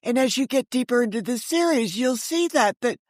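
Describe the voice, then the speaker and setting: A woman's voice: a little high, lively intonation, fairly quick, no echo, clean studio sound. American woman in her sixties, emphatic storyteller. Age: 60s. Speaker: guest, author and audio drama creator. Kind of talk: conversational storytelling in an interview.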